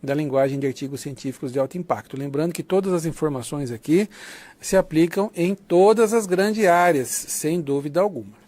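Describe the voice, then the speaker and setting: The fairly high-pitched Brazilian man you would hear leading a university short course, explaining things conversationally and checking that students follow. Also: a little fast, a little mellow, dry recording. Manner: didactic, conversational, often seeks confirmation with tags. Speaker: lecturer of an academic writing course. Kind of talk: online lecture, informal explanation.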